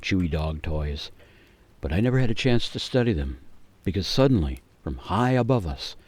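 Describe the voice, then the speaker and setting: A man giving a measured, theatrical, suspenseful narration of a scripted radio story. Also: low voice, sing-song, a little mellow, breathy edge.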